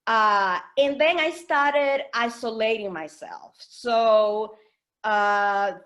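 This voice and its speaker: A woman from the Dominican Republic telling her personal story as a webinar guest speaker, hesitant and reflective, with many pauses and fillers. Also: a little high, a bit bright. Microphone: video call.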